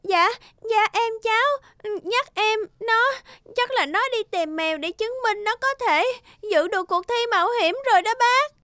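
A woman voicing an anxious, caring young girl mouse in an animated cartoon, earnest and emotional. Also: extremely high pitch, moderate pace, hardly any pauses, dry recording, clean studio sound, full character voice. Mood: panicked.

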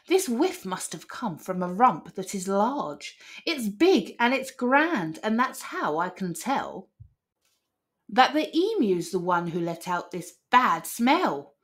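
Big male wombat, read by a woman: self-important, pompous, animated storybook animal. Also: medium pitch, slow.

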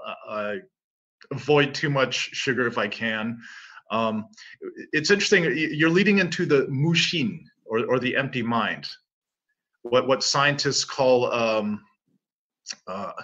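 A man's voice: medium pitch, expressive, slow pace, pauses often, a bit bright, muffled recording. A Canadian-born man in his forties, a martial arts teacher, talking casually and self-assuredly as an interview guest. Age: 40s.

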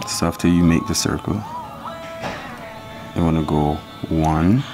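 A man from Jamaica walking through a fishing knot step by step in a relaxed, casual tutorial. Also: rumbling low, unhurried, over music. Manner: relaxed, step-by-step instruction with counting. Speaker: fishing channel host.

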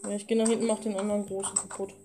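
A woman's voice: medium pitch, little pitch movement, racing, noisy background. A woman chatting casually as she plays a video game for a let's play. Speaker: gaming let's player. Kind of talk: casual chat during gameplay. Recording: guitar music under the voice. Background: guitar music.

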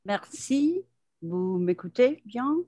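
A woman's voice: fairly high pitch, animated, slow, dark tone, dry recording, clean studio sound. Woman, thoughtful, headset call. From Argentina. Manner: searching for words, thoughtful. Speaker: psychoanalyst asking a question. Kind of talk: online panel discussion. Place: home room with bookshelves. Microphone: headset on video call.

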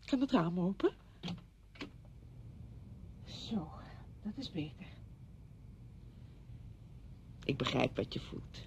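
Dull noisy radio play recording, bossy patronising woman, very animated intonation, chatty and fussing. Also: lowish voice, takes it slowly, exaggerated voice. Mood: fussing, disapproving. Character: bossy, brisk, patronising woman visiting a widowed friend. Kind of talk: Dutch radio play acting.

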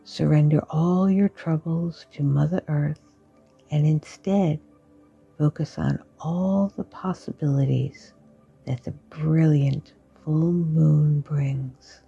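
A woman guiding a sleep meditation in a slow, soft, partly whispered voice. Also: deep voice, vocal fry, breathy edge, lo-fi sound, soft background music. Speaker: meditation guide.

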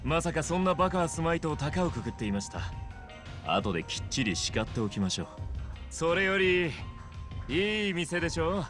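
An actor playing a smug, suave young male gangster boss in a video game cutscene, with smooth, polite, theatrical speech. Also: on the high side, big pitch swings, normal speed, a bit bright, soft background music. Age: young adult.

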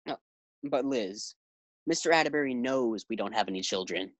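A man's voice: mid-range voice, expressive, normal speed, close to an everyday voice. A man acting a sensible, exasperated husband in a 1940s-style radio sitcom.

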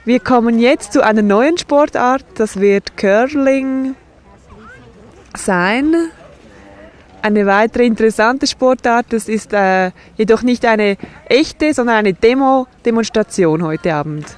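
A woman voicing a sign language sports report and interviews in a measured, steady way. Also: on the high side.

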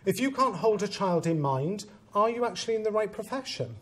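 Welsh head teacher in his 40s, lively conference presenter, theatrical and teasing, lapel mic. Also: high voice, fairly quick. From Wales. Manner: animated and theatrical, acting out quoted voices, teasing the audience. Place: conference training room with seated audience.

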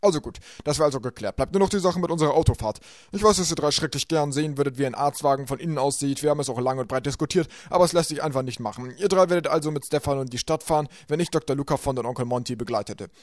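Guy doing a pushy fussy banker, loud and a bit higher, rattles it off super fast, dry close mic. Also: dry recording, lightly acted. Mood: brusque. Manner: loud, brisk, talks over the children. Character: fussy coughing adult male banker, dismissive. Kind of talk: audiobook character voice.

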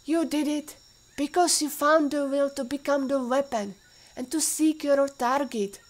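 High-voiced Russian warrior mentor played by an actress. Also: female voice, measured pace. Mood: warm, teasing. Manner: instructive, formal phrasing. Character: patient female warrior mentor, former Interpol agent, caring teacher. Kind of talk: audio drama acting.

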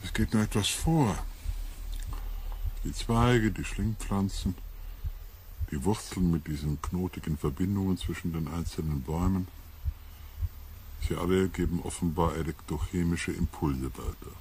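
An actor playing a sober, uneasy male scientist in a sci-fi radio drama, measured and analytical. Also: deep voice, darkish tone. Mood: grave wonder. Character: sober scientist on a deep-space expedition, uneasy. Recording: faint ambient noise.

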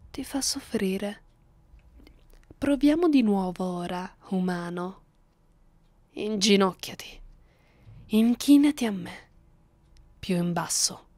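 A woman's voice: medium pitch, a little mellow, no room sound. A woman playing a cruel, seductive vampire mistress in an ASMR roleplay, speaking commandingly and often close to a whisper. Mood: stern, commanding. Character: domineering, cruel, seductive vampire mistress addressing her human captive.

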